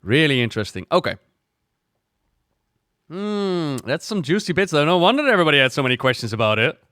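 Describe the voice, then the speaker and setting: A man hosting a gaming reaction video, talking casually and retelling things in an animated way with repeats for emphasis. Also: fairly high pitch, fairly quick, no room sound, clean studio sound.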